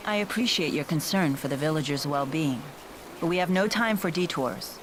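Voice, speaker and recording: A woman's voice: low voice, animated, normal speed, noisy background. A woman playing a dignified, earnest spirit lord in a video game, composed, formal and matter-of-fact.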